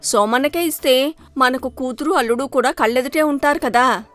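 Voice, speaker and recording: A sensible village wife and mother played by a woman in an animated folktale. Her voice is very high, with a persuasive, reasoning delivery. Soft music runs underneath. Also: moderate pace. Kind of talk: animated moral story acting.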